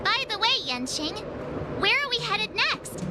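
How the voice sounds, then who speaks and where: A woman's voice: very high-pitched, sing-song, unhurried, noisy background, heavily acted. A woman voicing a bubbly, teasing teenage girl traveller in an anime-style video game. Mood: curious, cheerful. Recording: game music behind the voice.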